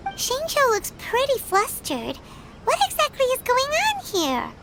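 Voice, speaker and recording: A woman voicing a tiny, excitable fairy-like companion girl who talks in a blunt, nosy way. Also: extremely high pitch, unhurried, exaggerated voice. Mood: chirpy. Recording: noisy game audio.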